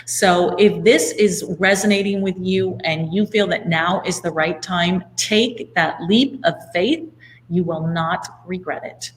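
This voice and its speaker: A woman's voice: on the low side, unhurried, slight background noise, rough recording. A middle-aged woman co-hosting an online course webinar, presenting the offer in a conversational sales style. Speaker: co-host, business partner presenting a course. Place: indoor room at home, video call.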